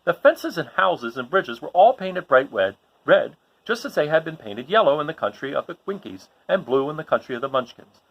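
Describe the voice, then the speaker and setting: Very animated, husky male storyteller. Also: on the high side, moderate pace, hardly any pauses, dull audio. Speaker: storybook reader and host. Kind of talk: expressive children's story read-aloud.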